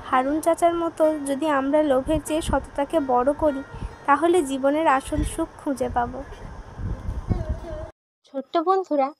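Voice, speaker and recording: A woman's voice: high voice, fairly even intonation, unhurried, pauses often, a little hiss or hum, rough recording. A woman reading scripted narration for a children's moral cartoon story.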